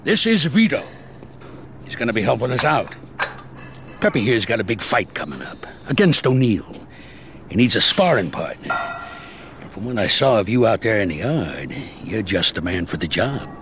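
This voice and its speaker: An actor playing an older mob boss, blunt and dry-toned. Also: male voice, fairly low pitch, sing-song, moderate pace, noisy background. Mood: calm. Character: older male mob boss, calm and commanding.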